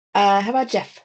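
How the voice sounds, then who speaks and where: A woman's voice: mid-range voice, darkish tone. A woman leading an online team meeting, chatty and friendly with frequent fillers, heard over a video call. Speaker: meeting facilitator. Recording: dull lo-fi audio.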